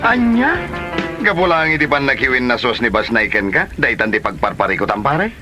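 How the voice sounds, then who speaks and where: A man's voice: mid-range voice, very animated, brisk, music in the background, lightly acted. A man playing a teasing, exclaiming buddy in an Ilocano comedy drama. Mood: exclaiming, advising.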